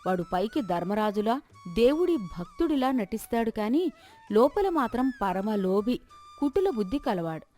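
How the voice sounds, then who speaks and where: A woman's voice: a little high, big pitch swings, moderate pace, a little mellow, faint music behind. A woman reading a scripted moral story as its narrator.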